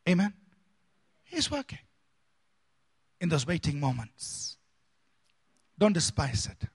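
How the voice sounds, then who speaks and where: A man from India, a pastor preaching a sermon with dramatic swings between loud emphasis and soft asides. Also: fairly high pitch, sing-song, takes it slowly, vocal fry, husky.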